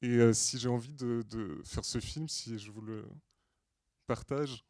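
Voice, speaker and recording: A man from Portugal presenting a prepared film pitch as a storyteller, with deliberate, earnest phrasing and hesitant pauses. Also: a little mellow, dry recording, clean studio sound. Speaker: screenwriter and director pitching a feature film. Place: screening room at a film school.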